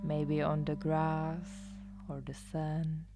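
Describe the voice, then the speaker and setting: Low, slow, hushed woman guiding meditation. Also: flat delivery, heavy background noise. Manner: soothing, hushed delivery, often trailing into near-whisper. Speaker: yoga nidra meditation guide. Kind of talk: guided meditation. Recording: live instrumental music behind the voice. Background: soft live instrumental music.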